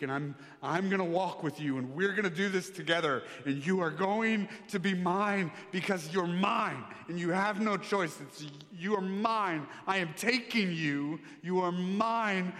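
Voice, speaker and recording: A male pastor preaching a sermon, telling stories and building up to forceful emphasis. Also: medium pitch. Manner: animated preaching, rising and louder on emphatic points; sings hymn lines softly. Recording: long reverb of a large hall.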